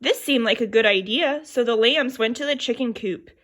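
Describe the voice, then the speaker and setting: A woman reading a children's picture book aloud, her voice sitting a little higher than most. She tells the story in an expressive, lively way. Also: moderate pace.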